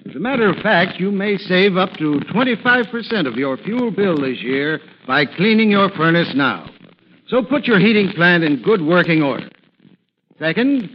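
A man giving scripted advice as an expert in a 1940s radio advertisement, in a formal, instructive manner. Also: sits high. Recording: muffled, hissy old radio broadcast.

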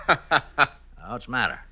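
A man's voice: medium pitch, very animated, slow pace, pushed and bright, heavily acted. An actor playing a sly, goading man who bargains with outlaws, in an old-time radio drama. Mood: sly, coaxing. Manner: goading, coaxing. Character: sly cajoling go-between for the governor, adult man. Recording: dull, lo-fi old radio recording.

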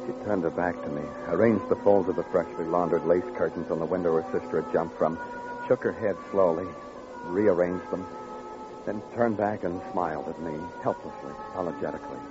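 Muffled old radio recording with prominent music behind it. An actor plays a hardboiled male police detective narrating his case in a low voice. He is wry and world-weary, brooding over each clipped phrase in classic radio noir style. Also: a little fast, lightly acted. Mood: somber. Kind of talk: 1950s radio crime drama acting, noir narration.

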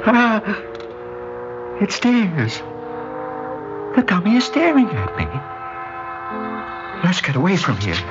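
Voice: medium pitch, wildly swooping pitch, slow, pauses often. A frightened onlooker in vintage radio drama style. The unnerved voice swoops dramatically, over music on a dull old recording. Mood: horrified. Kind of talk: 1960s American radio drama acting.

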